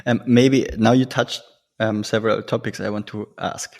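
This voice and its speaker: A man hosting an interview podcast, asking casual questions in a halting way, searching for his phrasing. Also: lowish voice, level tone, gravelly.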